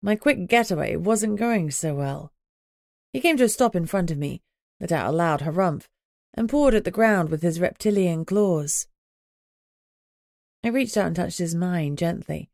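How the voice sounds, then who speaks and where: Female audiobook narrator, lower register, first-person fiction, dry close sound. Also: animated, unhurried, creaky, long pauses, a little mellow, no echo.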